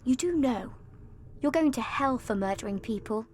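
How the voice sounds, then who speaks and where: A woman's voice: a little high, animated, normal speed, darkish tone, a little hiss or hum, natural voice. An actress playing a young orphan girl in a video game, earnest, plaintive and stubbornly moralizing. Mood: defiant.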